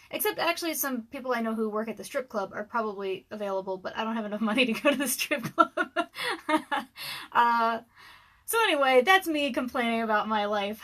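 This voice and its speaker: A woman in her thirties with a scratchy voice, rambling casually on a livestream from her dark room at home, with lots of ums and little laughs. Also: a little high. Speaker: author and livestream host. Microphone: webcam livestream.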